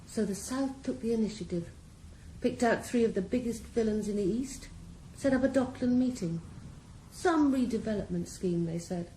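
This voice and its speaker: A woman's voice: fairly high pitch, normal speed, mellow, close to an everyday voice. An actress in a radio drama playing a ruthless female drug boss, cool, composed and mocking. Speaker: criminal mastermind. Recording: roomy echo, faint background hiss.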